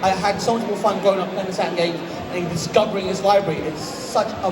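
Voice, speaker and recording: A man's voice: sits high, fairly even intonation, quick pace. A man on a convention panel gushing about a console he loves. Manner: gushing, enthusiastic. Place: large convention hall, live panel. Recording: echoey room from far off, noise.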